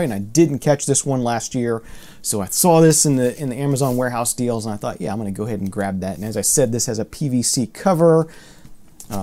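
A man in his thirties talks through a casual record review, his voice mellow and warm at a mid pitch. He rambles with fillers and false starts, now and then mildly exasperated. He sits at desk distance from the camera in a room full of record shelves. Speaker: vinyl collector vlogger.

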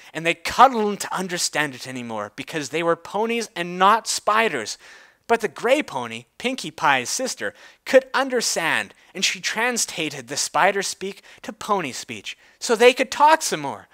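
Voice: medium pitch, very animated, a little slow, a bit bright. A person giving a theatrical, emphatic dramatic reading of a story, drawing out words for effect.

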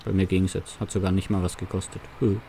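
Casual male gamer, low mellow voice, faint hiss behind. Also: level tone, fast talker. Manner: casual, businesslike in character. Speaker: farm sim roleplay player. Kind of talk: roleplay gameplay commentary.

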